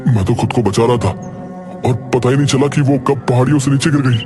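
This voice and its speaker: A man's voice: medium pitch, fairly even intonation, a little fast, lightly acted. A man voicing a frightened young man who pleads anxiously, over background music. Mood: shaken.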